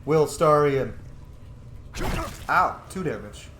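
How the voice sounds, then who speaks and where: A man giving casual gameplay commentary, joking, reacting out loud and swearing freely. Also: on the high side, lively intonation, takes it slowly. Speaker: gamer, streamer. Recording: roomy echo, faint game noise.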